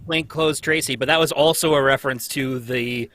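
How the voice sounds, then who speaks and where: Bright-voiced man chatting casually. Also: medium pitch, fairly even intonation, normal speed, no room sound. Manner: chatty, rambling with many fillers. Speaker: cast member of a radio-script reading show. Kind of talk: casual chat.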